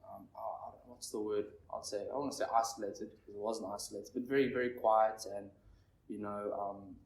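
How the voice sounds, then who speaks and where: A nervous young man in his twenties from Zimbabwe, sharing his recovery story at a meeting in a halting, rambling way. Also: fairly low pitch, little pitch movement, creaky. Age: 20s. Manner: halting, rambling, heavy on fillers. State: nervous, shaky. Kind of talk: personal recovery share. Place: meeting room with an audience. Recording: echoey room, distant mic, faint noise.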